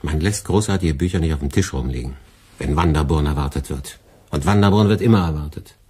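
An actor playing a dry, sardonic middle-aged radio department head with a husky, measured, ironic delivery in a radio play. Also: male voice, a bass voice, warm tone. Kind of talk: German radio play acting.

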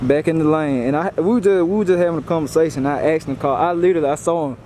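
A man's voice: a little high, fast. A man with a Southern American accent giving casual, informal updates straight to viewers as host, repeating himself as he goes, outdoors at a drag strip. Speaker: host filming drag racing. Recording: loud engine noise outdoors.

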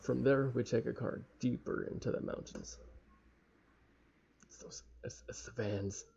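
A young man with a casual, halting, sweary manner reading a visual novel aloud and commenting as he plays. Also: mid-range voice, steady tone, creaky, mellow, slightly rough. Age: young adult. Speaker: let's play streamer. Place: small room at home. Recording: dull lo-fi mic with faint noise.

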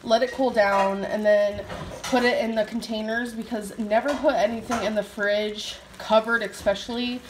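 A woman's voice: on the high side, steady tone, background noise. Chatty young woman in an echoey kitchen. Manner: chatty, quick to laugh. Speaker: vlogger. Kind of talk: casual home vlog chat. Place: home kitchen, indoors. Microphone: handheld phone camera.

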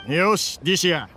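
A rough-talking, boastful desert father. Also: on the high side, bright, forceful tone, full character voice. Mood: regretful, affectionate. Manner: rough, casual, teasing speech. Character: gruff, boastful, tender-hearted adoptive father, a desert mercenary leader. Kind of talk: voiced letter monologue in game cutscene.

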